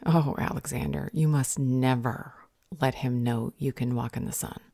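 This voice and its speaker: A woman playing a stern, protective vampire healer in an audio roleplay, speaking in a measured, firm and earnest way. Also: very deep voice, fairly even intonation, creaky, dark tone, no echo, clean studio sound, heavily acted. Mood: grave, guarded.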